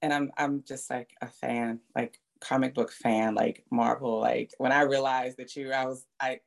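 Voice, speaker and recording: A woman's voice: very deep voice, level tone, normal speed, gravelly. A woman co-hosting a podcast interview, reflective and thoughtful as she builds long points. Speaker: podcast co-host. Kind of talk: interview conversation.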